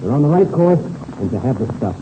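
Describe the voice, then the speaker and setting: An actor playing a veteran pilot, a steady fatherly mentor, earnest and preachy in 1930s radio serial style. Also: medium pitch, a little fast, lightly acted. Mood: earnest moralizing. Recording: noisy muffled old radio recording.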